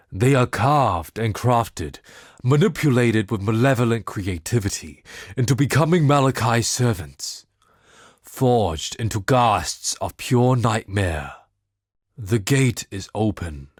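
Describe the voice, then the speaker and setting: Brooding game mystic: solemn, cryptic, lowish voice, very slow portentous delivery. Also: male voice, no echo, studio quality. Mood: dark, portentous. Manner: measured, portentous, wryly philosophical. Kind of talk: dramatic scripted video game monologue.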